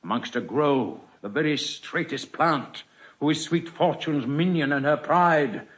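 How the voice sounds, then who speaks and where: Slow, solemn, careworn king. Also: fairly high pitch, a bit bright. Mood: wistful, regretful. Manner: declamatory, measured, solemn oratory. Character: weary, careworn English king, grave and regal. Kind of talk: classical Shakespearean audio drama acting.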